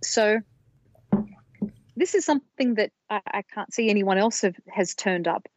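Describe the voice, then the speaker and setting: A woman's voice: medium pitch, expressive, a little slow, a little mellow, no echo. Woman podcaster, slightly dull dry recording. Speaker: podcast co-host presenting research. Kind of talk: storytelling research discussion.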